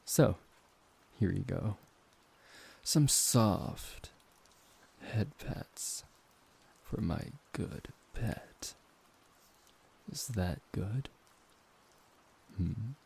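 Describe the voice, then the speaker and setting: A man playing a possessive, seductive vampire professor in an intimate ASMR roleplay, teasing and dominant, with a gravelly, husky voice. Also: on the low side, full character voice. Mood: soothing, possessive. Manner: slow, hushed, coaxing, condescendingly affectionate.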